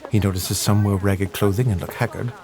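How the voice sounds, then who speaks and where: A man's voice: fairly low pitch, fairly even intonation, a little fast, a little mellow. A man narrating a scripted adventure story for an audio drama.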